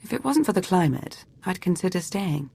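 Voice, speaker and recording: A woman's voice: fairly low pitch, big pitch swings, normal speed, vocal fry, dark tone, slightly rough, full character voice. A woman voicing a shrewd imperial adviser in a video game, articulate and formal with dry wit. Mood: dry, thoughtful. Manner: articulate, formal, dryly witty. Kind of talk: video game character acting.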